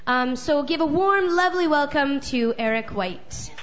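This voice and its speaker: A woman with a high, bright voice runs an open mic night, joking around between acts. She talks in a chatty, self-mocking way. The recording is dull and lo-fi. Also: lively intonation, a little slow. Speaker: open mic guest host. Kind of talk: casual joking stage banter. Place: small live venue on stage.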